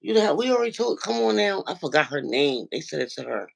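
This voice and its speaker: Nasal, gravelly man telling a story. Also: fast, crowd noise. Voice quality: nasal, deep voice. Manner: animated storyteller, acts out quoted dialogue. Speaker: regular viewer calling into a livestream. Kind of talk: casual chat, retelling an online argument.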